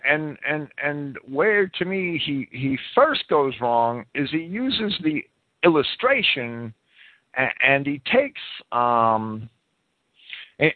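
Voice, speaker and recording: A man's voice: medium pitch, no echo. A man in an opinionated radio-style discussion, partly reading prepared commentary, who often stammers and repeats words as he starts a phrase. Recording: band-limited, lo-fi internet radio sound.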